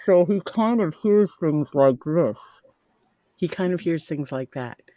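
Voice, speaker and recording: A middle-aged woman on a video call, speaking in a low, soft voice she calls ASMR-like, telling a heartfelt, rambling story with pride. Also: animated, measured pace, crowd noise. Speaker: parent sharing a story. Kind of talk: casual group chat. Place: home video chat.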